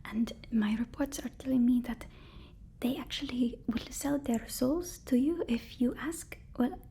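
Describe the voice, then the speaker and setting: A woman playing a devoted, anxious assistant to a supervillain in an ASMR roleplay, whispering in a halting, soothing, deferential way. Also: high voice, warm tone, slight background noise. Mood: nervous reassurance.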